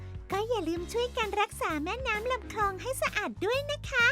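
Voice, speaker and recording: A woman voicing a cheerful little girl who hosts a children's cartoon show, sweet, bubbly and slow. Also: extremely high pitch. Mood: gentle. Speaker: show host. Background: children's background music.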